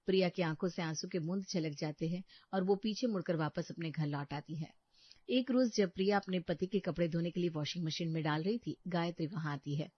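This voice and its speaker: A woman giving expressive, scripted narration for a cartoon moral story. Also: on the low side, brisk, no room sound. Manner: expressive, dramatic. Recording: dry dull lo-fi studio.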